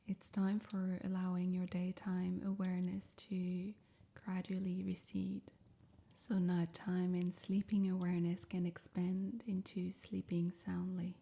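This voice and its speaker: Slow, softly whispery woman guiding meditation. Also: on the low side, completely flat delivery. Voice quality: soft, breathy, near-whisper. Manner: soothing, unhurried, measured phrases. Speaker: meditation guide. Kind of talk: guided sleep meditation, scripted.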